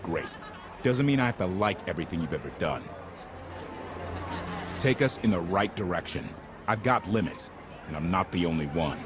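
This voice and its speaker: Blunt space saga comrade, deep male voice, disgruntled, game cutscene. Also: lively intonation, moderate pace, pauses often, a crowd in the background. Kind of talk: scripted game cutscene acting. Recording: clear ambient noise behind.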